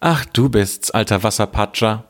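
A man's voice: fairly low pitch, moderate pace, close to an everyday voice. A man voicing a young, spoiled fairy-tale princess in a storybook reading, eager and plaintive. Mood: tearful. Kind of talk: storybook character voice.

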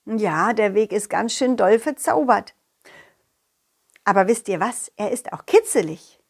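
A woman voicing a confident, loyal dog who tells his adventures directly to children in an animated, childlike way. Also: mid-range voice, a little slow, gravelly, voice pushed down. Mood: excited. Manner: childlike, animated, addresses young listeners directly. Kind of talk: children's audio story narration.

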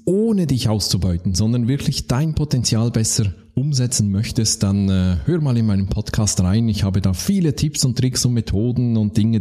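Swiss guy guesting on a podcast, lowish husky voice, laid back and thoughtful. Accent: Swiss German. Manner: relaxed, reflective, laughs at himself. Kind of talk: conversational podcast interview.

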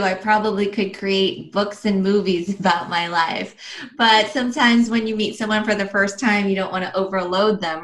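A woman's voice: medium pitch, a bit bright. A woman in her thirties, raised in Los Angeles, chatting easily in an interview with frequent ums and little laughs. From Philippines, raised in Los Angeles. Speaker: guest, online entrepreneur. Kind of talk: casual interview answers.

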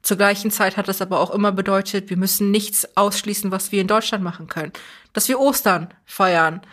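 A woman's voice: medium pitch, steady tone, a little fast, a bit bright. A young woman who grew up in Germany, speaking as an interview guest in a thoughtful, earnest way, often restarting phrases as she thinks. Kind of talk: reflective conversational interview.

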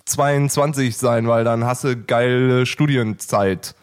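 A man in his late twenties co-hosting a casual podcast chat, joking, laughing often and filling pauses with hesitations. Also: mid-range voice, fairly even intonation, smooth. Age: late 20s. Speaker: podcast co-host.

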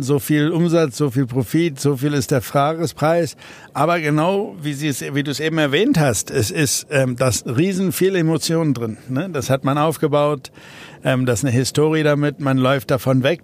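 A man from South Africa, an entrepreneur guest in an interview, talking casually with many fillers and restarts. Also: fairly high pitch.

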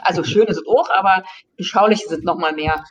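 A woman from Berlin, a voice actress guest, chatting in a lively, agreeable way and quick to chime in. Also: on the low side, slightly rough, lo-fi sound. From Berlin, Germany. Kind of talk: casual interview chat.

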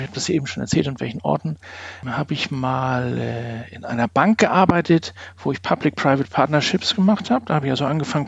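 A man's voice: mid-range voice, creaky, breathy edge. A middle-aged man, talking in a discursive, wry way as a guest in a podcast interview. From northern Germany, near Hamburg. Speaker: podcast guest, organisational development consultant. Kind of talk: reflective conversational interview. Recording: dull, roomy lo-fi sound.

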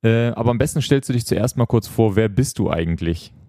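A male podcast host with a soft, mellow tone. He chats casually, in a dry, close-sounding recording. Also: on the low side, expressive, a little fast, no room sound.

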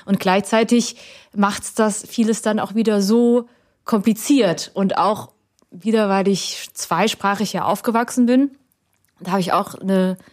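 A thoughtful woman in her thirties speaks at an even, middle pitch. She grew up in Germany and answers in a relaxed, conversational way. She pauses often and restarts her sentences as she looks for the right words. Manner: reflective, hesitant with many fillers and restarts. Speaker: guest.

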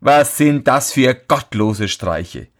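A man's voice: mid-range voice, animated, slow, pitched above the performer's own voice. A man doing a stern weary old father. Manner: scolding, sighing.

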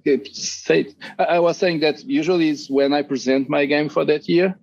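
Fields: Sex: man. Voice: gravelly. Role: designer guest. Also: fairly high pitch, steady tone, moderate pace, darkish tone, highs cut off. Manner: chatty, hesitant, restarts sentences often. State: dry throat, losing his voice. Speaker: guest board game designer. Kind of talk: casual podcast interview.

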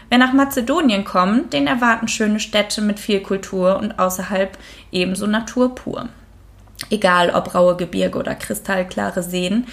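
A woman hosts a true crime podcast in a relaxed, chatty way, with frequent fillers. When she reads out the case, she switches to steadier storytelling. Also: mid-range voice, creaky. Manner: casual, many fillers, reads case notes aloud. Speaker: podcast host.

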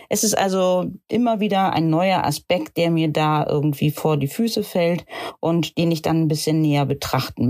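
A woman from Cuxhaven, a church deacon, giving a measured, reflective account of her work. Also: deep voice, a little mellow. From Cuxhaven, Germany. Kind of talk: personal account.

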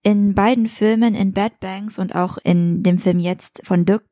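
A woman interviewer chats with a guest in casual, everyday phrasing. Her pitch is average for a woman. The sound is very dry, with hardly any room. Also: steady tone, unhurried, dark tone, no echo. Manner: informal, colloquial.

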